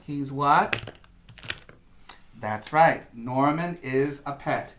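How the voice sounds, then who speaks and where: A male preschool teacher with a very slow, deliberate delivery and a mid-range pitch. He addresses young children in a simple, instructive way, as in a read-aloud lesson, leaving space for them to answer. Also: noisy background. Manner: instructive, asks questions and pauses for answers.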